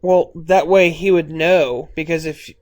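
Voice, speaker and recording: A man's voice: on the high side, steady tone, a little slow, darkish tone. A man giving casual, blunt commentary while watching a movie. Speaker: film commentator.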